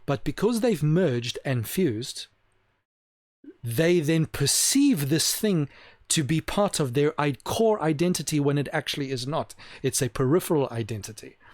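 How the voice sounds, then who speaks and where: A man's voice: on the high side, lively intonation, a little mellow, no room sound, clean studio sound. A man giving an opinionated solo monologue to camera, emphatic and repeating his points for stress. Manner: slows down to stress key words. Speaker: video host.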